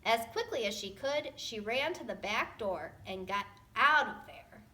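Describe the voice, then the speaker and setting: A woman reading a storybook aloud to children in an expressive, playful, tongue-in-cheek way. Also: a little high, measured pace, a little hiss or hum.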